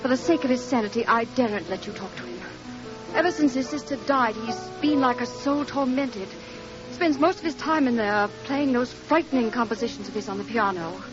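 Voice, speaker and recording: Lady playing worried well-bred wife, polite n pleading, higher voice, muffled old radio recording w music. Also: fairly quick, lightly acted. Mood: anxious, protective. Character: anxious, protective, well-bred doctor's wife. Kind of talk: old-time radio drama acting.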